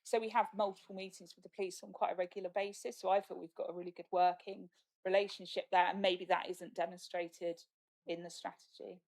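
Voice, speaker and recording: A woman's voice: lowish voice, level tone, fairly quick, vocal fry, a little mellow. A woman, a council officer, explaining at a meeting in a plain, matter-of-fact way. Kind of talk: answering questions at a council meeting.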